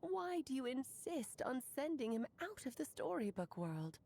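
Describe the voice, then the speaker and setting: An actress playing a whimsical, affectionate woman mage in a game, with a gentle, theatrical and expressive delivery. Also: high-pitched, moderate pace, vocal fry, dry recording, heavily acted. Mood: concerned, pleading. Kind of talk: scripted video game voice acting.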